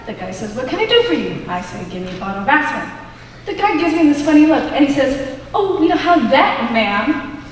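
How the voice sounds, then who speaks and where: A woman's voice: high-pitched, big pitch swings, a little fast. A teenage student actress with an American accent playing an exasperated, incredulous woman in a stage comedy. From United States. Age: teens. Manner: agitated, incredulous. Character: flustered, exasperated woman having a baffling day. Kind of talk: one-act stage comedy acting. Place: school auditorium stage. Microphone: distant camera microphone. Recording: echoey auditorium recorded from far off, hiss.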